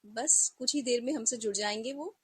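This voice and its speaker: Female co-host, husky voice, conversational delivery, dry close sound. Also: fairly high pitch, normal speed, fairly bright tone, no room sound. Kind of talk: casual live online conversation.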